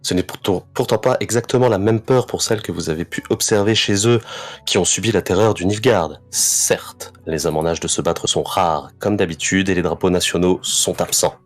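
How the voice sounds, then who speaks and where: A man running a tabletop role-playing game as game master, describing scenes in a measured way with some hesitation. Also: deep voice, faint music behind. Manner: descriptive, measured narration with fillers.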